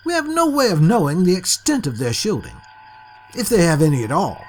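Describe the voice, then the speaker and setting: A woman's voice: lowish voice, huge pitch swings, a little fast, a little mellow, full character voice. A woman playing a businesslike starship weapons officer in a sci-fi audio drama, giving technical, matter-of-fact reports.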